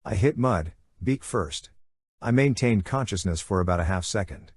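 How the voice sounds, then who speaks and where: Male audiobook narrator, slightly low and rough-edged, conversational yet dramatic delivery of a young adult adventure. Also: expressive, normal speed, darkish tone, dry recording, studio quality. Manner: conversational, punchy short phrases, acts out sound effects.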